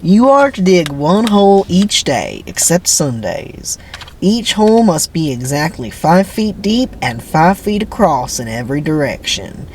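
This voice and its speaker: A man voicing a stern, menacing prison camp boss in his forties, taunting with drawn-out, swooping commands in a dramatic script reading, with background noise. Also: on the high side, big pitch swings, darkish tone, voice pushed up. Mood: gruff, commanding.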